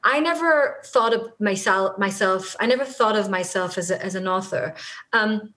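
A woman's voice: mid-range voice, moderate pace, bright, forceful tone. A middle-aged woman from Israel with an Israeli accent, a native Hebrew speaker, talking earnestly and reflectively as an interview guest, often restarting her sentences. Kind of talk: conversational interview.

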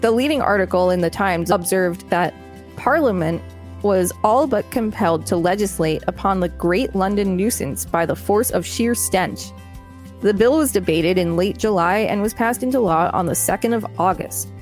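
A woman co-hosting a podcast, reading an article aloud and bantering in a deadpan, teasing way. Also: medium pitch, moderate pace, faint music behind. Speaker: podcast co-host.

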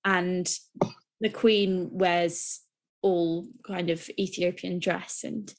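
A woman's voice: fairly low pitch, steady tone, drawn-out words. A young woman, co-hosting a podcast, talking enthusiastically and rambling with restarts. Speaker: podcast co-host. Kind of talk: casual chat.

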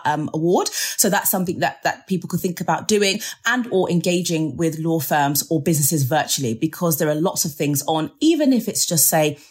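A woman with a measured manner, using many fillers, giving career advice as an interview guest. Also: low voice, husky. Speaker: legal recruitment guest.

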